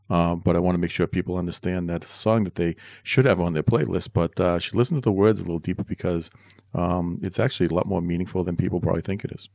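A man's voice: low voice, a little mellow, dry recording, studio quality. A middle-aged man co-hosting a music podcast with his son, chatting in a rambling, friendly way with lots of restarts. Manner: chatty, rambling with restarts, corny dad jokes. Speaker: podcast co-host. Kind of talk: casual podcast chat.

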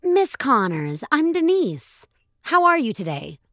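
A woman's voice: high voice, very animated, unhurried, a bit bright, no room sound, studio quality, pitched above the performer's own voice, exaggerated voice. A woman voicing a young, falsely polite customer service agent in an audio drama. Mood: patronizing. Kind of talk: audio fiction acting.

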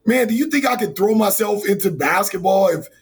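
Hoarse male college coach in his forties, husky and slightly high voice, earnest and rambling. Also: lively intonation, brisk. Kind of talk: interview answers.

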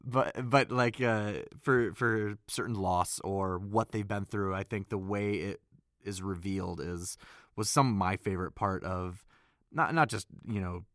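Very deep-voiced man in loose chat. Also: measured pace, a bit bright, no room sound, studio quality. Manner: loose and rambling, many "like" fillers. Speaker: voice actor. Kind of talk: casual behind-the-scenes chat.

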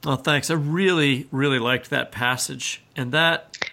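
A man co-hosting a literary podcast, chatting casually and haltingly as he searches for words. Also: medium pitch, fairly even intonation, gravelly, bright, forceful tone. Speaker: podcast co-host, interviewer.

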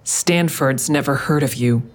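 An actress playing a hard-edged, skeptical woman interrogating an enemy in an audio drama, with a husky voice and terse, pointed, scornful questions. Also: extremely low pitch, measured pace. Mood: accusing. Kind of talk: full-cast audio drama acting.

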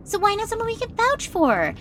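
A woman's voice: very high-pitched, huge pitch swings, brisk, fairly bright tone, slight background noise, exaggerated voice. An actress playing a vain, self-absorbed female stage star in a modern audio drama, with a sarcastic, gossipy, theatrical manner. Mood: wheedling, condescending.

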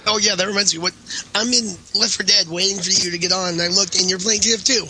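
A man with a high-pitched, very sharp voice guests on a gaming podcast. He jokes casually over an internet call with a little noise behind him. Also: steady tone, fast talker, low-quality recording. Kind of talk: joking casual chat. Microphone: Skype call.

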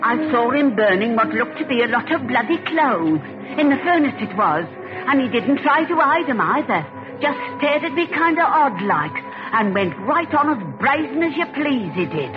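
An actress playing a gossipy, indignant witness with a folksy manner in a 1940s radio drama. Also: female voice, high-pitched, sing-song, normal speed. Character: gossipy, disapproving British female witness. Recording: muffled vintage radio recording over music.

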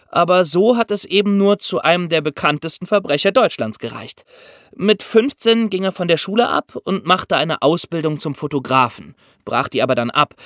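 Male podcast co-host, animated and fairly high-pitched, explaining true crime conversationally. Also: no echo, studio quality.

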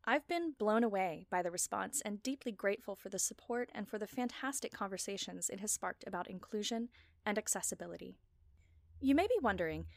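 A woman's voice: mid-range voice, dry recording. Woman giving a scripted talk, dry recording. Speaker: podcast creator and speaker. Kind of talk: scripted talk, personal essay narration.

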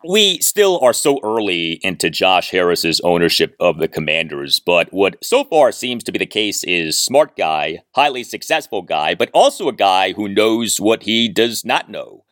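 A man hosting a sports podcast, offering conversational analysis in deliberate, emphatic, structured phrases. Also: low-pitched, sing-song, fairly bright tone, no echo, clean studio sound.

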